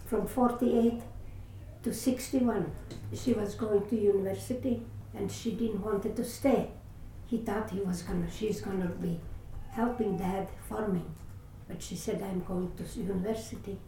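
An older woman with a Latvian accent, her first language, telling her life story in an oral history interview. She speaks haltingly, searching for words and restarting often. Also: medium pitch, fairly even intonation, dark tone. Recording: roomy echo, distant mic, faint hiss.

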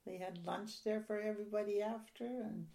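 An older woman from a Ukrainian-speaking home, reminiscing in an oral history interview in a soft, halting, rambling way. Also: mid-range voice, steady tone, gravelly.

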